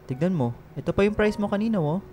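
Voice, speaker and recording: A man playing a kindly, affectionate father figure in a radio drama, with a gentle manner. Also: high-pitched, animated, quick pace. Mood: fatherly. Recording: music bed behind the voice.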